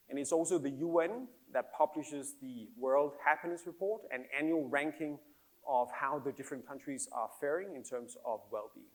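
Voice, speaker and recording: Danish-accented man giving a keynote. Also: mid-range voice. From Denmark. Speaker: happiness researcher giving a keynote. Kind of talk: public talk to an audience.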